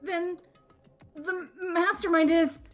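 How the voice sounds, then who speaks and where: Casting: woman as anxious bookworm schoolgirl, high voice, stuttering, gloomy game character. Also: steady tone, slow pace, fairly bright tone, soft background music, exaggerated voice. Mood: nervous. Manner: stammering, anxious. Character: nervous, gloomy bookish teenage girl. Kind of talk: amateur visual novel voice dub.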